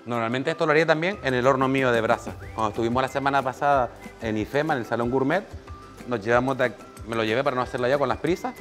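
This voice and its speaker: Canarian chef teaching a cooking class. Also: male voice, mid-range voice, fast, fairly bright tone, soft background music. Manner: practical, directs an assistant at his side. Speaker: chef giving a cooking demonstration. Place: small bright teaching kitchen, indoors.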